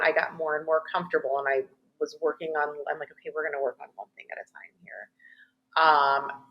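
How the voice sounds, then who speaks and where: A woman's voice: deep voice, fairly bright tone, muffled recording. A woman in her forties talking effusively in a casual conversation as a fitness coach. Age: 40s.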